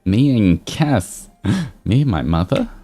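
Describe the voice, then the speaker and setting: Deep, slow-paced man with animated delivery. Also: faint music behind. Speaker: livestream host reading fan fiction aloud. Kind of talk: expressive story reading mixed with casual chat.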